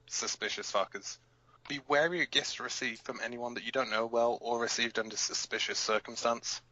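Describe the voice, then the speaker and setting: A man's voice: mid-range voice, sharp tone, no room sound, highs cut off, natural voice. A man playing a hot-headed, protective resistance fighter who hosts a rebel radio broadcast in an audio drama, blunt, emphatic and given to swearing.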